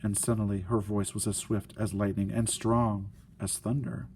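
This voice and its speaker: A man reading a children's story aloud, with deliberate phrasing and dramatic emphasis. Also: lowish voice, level tone, darkish tone. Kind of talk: expressive children's story narration. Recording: faint background noise and ambience.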